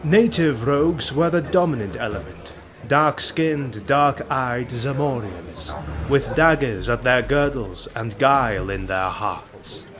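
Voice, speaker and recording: A man narrating a fantasy audio drama in a measured, theatrical storytelling manner. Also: mid-range voice, expressive. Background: prominent music.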